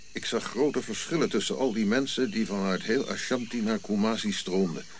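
A reflective African prince played by a man tells of his royal past in a radio play. His voice is on the low side, his phrasing eloquent and measured, with pauses. Crickets and clear background noise sit behind him. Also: lightly acted. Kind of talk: audio drama narrative monologue.

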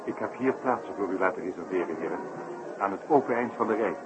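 A man's voice: deep voice, lively intonation, fast, over music, low-quality recording. An actor playing a grave, exasperated male circus director in a 1960s radio drama, speaking formally and with weighty emphasis. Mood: businesslike.

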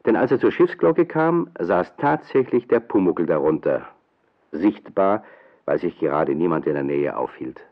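A man's voice: low voice, expressive, moderate pace, lo-fi sound. A man narrating a scripted German children's radio play as storyteller. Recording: thin, band-limited old radio play.

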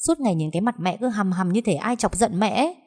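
A woman voicing an angry grown daughter who talks back with shrill, accusing, tearful words. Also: animated, fairly quick, a little mellow. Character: angry grown daughter, sharp-tongued and bitter.

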